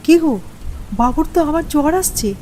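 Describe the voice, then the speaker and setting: A woman's voice: sits high, brisk, mellow, slight background noise, close to an everyday voice. A woman playing an anxious young village mother in a cartoon, worried and pleading. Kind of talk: Bengali cartoon story acting.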